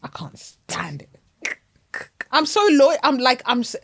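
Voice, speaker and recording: Animated Zimbabwean woman podcast co-host. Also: sits high, measured pace, breathy edge. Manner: animated, emphatic, laughs often. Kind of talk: casual chat.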